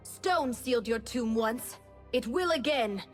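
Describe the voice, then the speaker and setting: A woman's voice: a little high, expressive, a little slow, over loud music. A woman playing a solemn, resolute guardian in a fantasy game, declaiming sternly. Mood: stern resolve. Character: solemn, resolute female guardian with earth magic.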